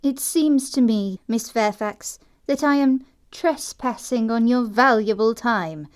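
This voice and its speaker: A woman acting a sweet but sharp-tongued young English country heiress in an audio play comedy, prim and pointedly polite. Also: on the high side, takes it slowly. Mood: sweetly barbed.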